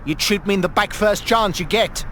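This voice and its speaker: An actor playing a greedy, menacing villain in a video game, mocking and taunting in a lecherous way. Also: male voice, sits high, moderate pace, bright, forceful tone, slight background noise. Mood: gloating. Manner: taunting, mocking, with sneering pet names.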